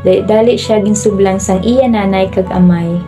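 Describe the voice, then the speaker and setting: A woman's voice: mid-range voice, moderate pace. A woman with a Filipino accent reading scripted narration for a radio drama. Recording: music bed behind the voice.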